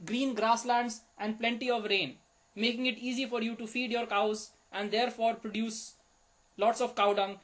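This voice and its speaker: A man playing a pompous, boastful Indian village economist with an Indian English accent, delivering a deadpan comic monologue in stiff, formal phrasing. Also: very high voice. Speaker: comic show host.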